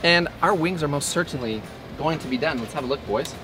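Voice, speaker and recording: A man's voice: on the high side, a little fast, very noisy. A man in his thirties hosting a casual outdoor cooking show, talking in an upbeat, joking way as he cooks. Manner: upbeat, joking, quoting movie lines. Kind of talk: casual cooking demo with banter. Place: outdoor griddle kitchen with wood walls. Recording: griddle sizzle behind voice.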